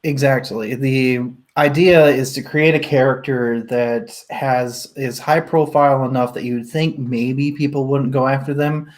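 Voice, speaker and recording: A man's voice: medium pitch, steady tone, unhurried, hardly any pauses. A man hosting a casual livestream book discussion, explaining his points as he thinks them through aloud. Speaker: book club host leading a discussion.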